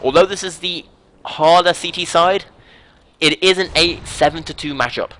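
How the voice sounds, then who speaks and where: Bright-voiced British esports caster. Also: male voice, on the high side, moderate pace, a little hiss or hum. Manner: upbeat, rambling, jokes between rounds. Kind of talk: live game commentary.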